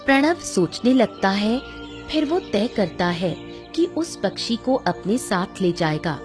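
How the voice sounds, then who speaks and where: A woman delivers scripted storytelling as the narrator of a cartoon tale. Her voice sits at a medium pitch over faint music. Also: expressive, slow pace. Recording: music under the voice.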